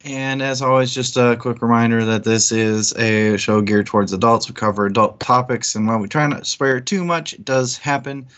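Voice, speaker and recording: A man's voice: medium pitch, fairly even intonation, moderate pace, few pauses, fairly bright tone, highs cut off. A man co-hosting a book discussion stream, talking informally and thinking aloud as he goes. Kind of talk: casual discussion with some read-out intro.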